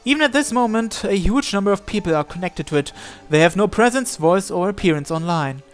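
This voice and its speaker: A man's voice: sits high, animated, a little fast. A man reading visual novel story text aloud as narration, sometimes stumbling over a word and repeating it. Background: background music.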